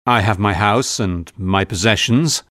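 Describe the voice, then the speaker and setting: A man playing a low, reserved English gentleman. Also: unhurried, no echo, studio quality. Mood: sad, resigned. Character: reserved, courteous English gentleman, grave and sincere. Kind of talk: dramatised audiobook dialogue.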